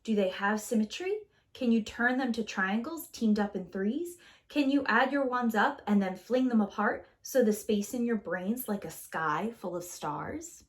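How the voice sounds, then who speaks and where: Woman, preschool teacher, higher-pitched voice, very lively intonation, sing-song storybook read-aloud, theatrical delivery for young kids. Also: a little slow. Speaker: preschool teacher reading a picture book aloud.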